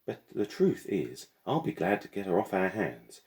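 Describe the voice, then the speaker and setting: A man's voice: low-pitched, big pitch swings, moderate pace, darkish tone, pitched above the performer's own voice. A man voicing a stern, formal headmistress with weary disapproval in a storybook reading. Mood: disapproving.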